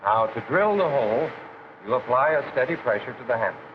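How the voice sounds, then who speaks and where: An actor with an American accent playing a practical factory instructor who explains things methodically in a 1940s training film. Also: male voice, mid-range voice, expressive, a little fast, lightly acted. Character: plain-spoken, practical male factory instructor. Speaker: shop floor instructor. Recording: dull, hissy 1940s film soundtrack.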